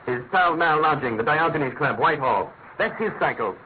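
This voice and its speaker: A man's voice: on the high side, expressive, normal speed, natural voice. Man playing a theatrical detective, swooping and tinny. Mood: amused, expounding. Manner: articulate, declamatory, musing. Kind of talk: classic British radio drama acting. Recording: tinny narrow-band radio recording with hiss.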